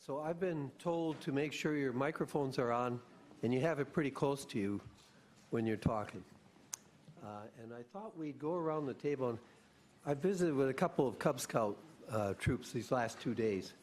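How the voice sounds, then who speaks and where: A man chairing a city council meeting, inviting everyone around the table to speak in a loose, unhurried way with many fillers. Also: medium pitch, lively intonation, a little mellow, slight background noise. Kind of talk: meeting small talk, running the table. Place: council chamber, table mics.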